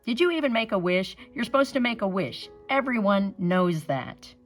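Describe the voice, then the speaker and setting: A woman's voice: medium pitch, very animated, normal speed, faint music behind, pitched above the performer's own voice. A woman doing a bossy big brother. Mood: exasperated. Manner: bossy, exasperated.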